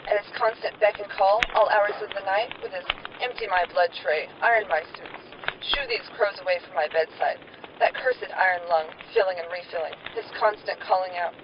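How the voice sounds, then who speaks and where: A woman's voice: mid-range voice, brisk, close to an everyday voice. A woman playing an exasperated, long-suffering young wife in an old-time radio melodrama. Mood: distraught. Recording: dull old-radio sound, clear background noise.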